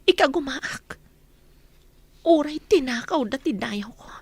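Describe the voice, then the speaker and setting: A woman's voice: high-pitched, expressive, slow pace, no echo, exaggerated voice. A woman with an Ilocano accent playing a wronged mother bent on revenge in a radio drama, speaking with intensity. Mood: determined. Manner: determined, intense.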